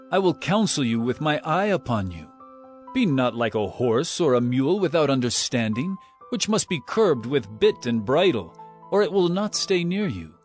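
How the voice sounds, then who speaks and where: A man narrates scripture in a dramatized style, with an animated, widely moving pitch. Faint music plays behind his voice. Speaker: audio Bible narrator.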